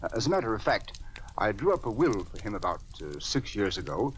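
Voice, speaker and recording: Older gentleman attorney, earnest, hesitant, lowish animated voice, old radio drama, faint noise. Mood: earnest, troubled. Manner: hesitant, formal, deliberate. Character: earnest, troubled older male judge and family attorney. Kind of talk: 1940s radio drama acting. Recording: noisy old radio recording.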